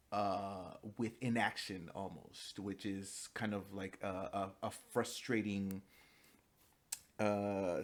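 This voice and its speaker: A young man from the United States giving a spoken class presentation, halting, with many fillers and restarts, at times switching into fluent Spanish. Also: lowish voice. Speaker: student.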